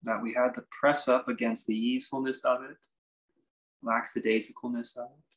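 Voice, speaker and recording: A man leads a guided meditation as its teacher, in a voice of medium pitch. He gives his instruction off the cuff, in measured fragments. Reflective pauses break up his sentences as he goes. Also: steady tone, gravelly, a bit bright, slight background noise, low-quality recording.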